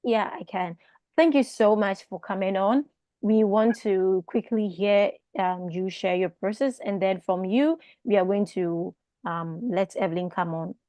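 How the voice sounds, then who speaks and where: Mellow-voiced woman hosting a Q&A. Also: mid-range voice, unhurried, no room sound. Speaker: host. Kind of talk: live online Q&A.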